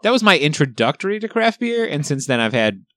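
A young man talking loosely on a podcast, rambling and swearing with easy laughter. His voice moves a lot in pitch, and the sound is dry and close with no room around it. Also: big pitch swings, a little fast, fairly bright tone, no room sound. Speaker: podcast co-host. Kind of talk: casual chat.

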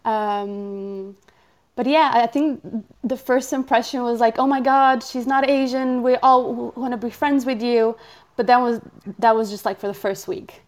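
Woman, 20s, from Portugal, native Portuguese speaker, slightly high voice, hesitant, lots of "um", laughs easily, podcast guest. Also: steady tone, unhurried. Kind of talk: casual interview chat.

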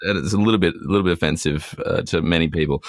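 A man's voice: deep voice, very fast, very noisy. A young man co-hosting a casual comedy podcast, talking in a wry, hesitant, self-justifying way. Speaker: podcast co-host. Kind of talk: casual comic banter.

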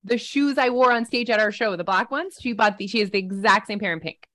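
A woman in her thirties co-hosting a podcast, teasing and excitable, saying "like" a lot. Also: medium pitch, brisk. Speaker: podcast co-host. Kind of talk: casual podcast chat.

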